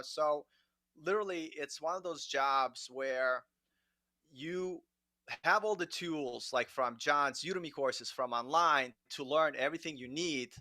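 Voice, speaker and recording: Bright-voiced man chatting casually, closely miked. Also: fairly high pitch, dry recording. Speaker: guest developer and content creator. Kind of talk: casual livestream chat.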